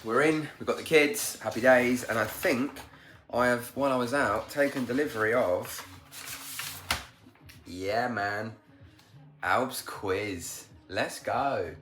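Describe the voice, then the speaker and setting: A man's voice: mid-range voice, slow pace, pauses often, fairly bright tone, slight background noise. A man, chatting casually in a vlog, a bit congested from a sore throat, upbeat and rambling. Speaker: vlogger.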